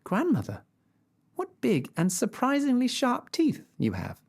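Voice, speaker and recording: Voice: medium pitch, wildly swooping pitch, measured pace, gravelly, a little mellow, pitched above the performer's own voice, heavily acted. A reader doing a chirpy little girl storyteller figure. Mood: chatty. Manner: eager, chirpy questions. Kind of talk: storybook reading.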